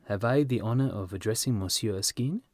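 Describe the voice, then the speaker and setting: A man voicing a courteous elderly French gentleman in an audiobook, formal and polite, with a slight French accent. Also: fairly low pitch, fairly quick, no room sound, clean studio sound, close to an everyday voice. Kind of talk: audiobook character dialogue.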